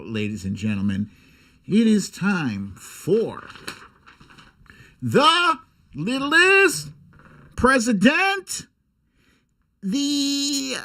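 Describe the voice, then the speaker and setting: Theatrical man with swooping, highish voice. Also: fairly bright tone. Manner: theatrical, drawn-out emphasis; sarcastic, irreverent, swearing. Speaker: host of a political humour livestream. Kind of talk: comic political commentary and mock storytime reading.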